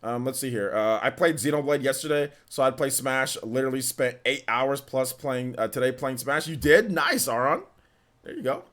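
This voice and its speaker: A young man chatting on his live stream, reading viewer questions aloud and answering them off the cuff. His voice sits at an ordinary middle pitch, and he loops back over his own words with fillers and restarts. It sounds relaxed and unscripted. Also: normal speed. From California. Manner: rambling, repeats himself, many restarts and fillers.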